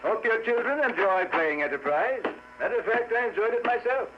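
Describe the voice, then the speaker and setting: An actor playing a polite, mildly officious immigration inspector with formal manner in a 1950s radio drama. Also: male voice, high-pitched, close to an everyday voice. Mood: courteous. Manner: formal, measured. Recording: muffled 1950s radio recording with hum.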